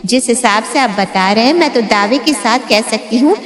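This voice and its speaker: Woman acting stern high-voiced lady doctor. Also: animated, moderate pace, natural voice. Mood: stern, advising. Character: stern, authoritative woman doctor advising a family. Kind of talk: scripted story drama dialogue. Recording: echoey room with long reverb.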